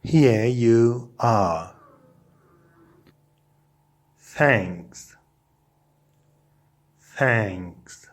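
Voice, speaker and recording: A man reading short English phrases aloud as a language teacher, saying them deliberately as separate, repeated phrases. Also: medium pitch.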